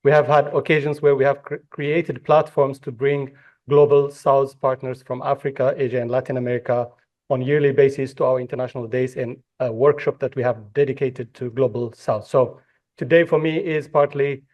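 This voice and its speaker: A man's voice: mid-range voice, fairly even intonation, normal speed, darkish tone, dull audio. A man from Ethiopia moderating a conference panel, speaking with frequent fillers and restarts. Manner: hesitant, many fillers and restarts. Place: conference hall.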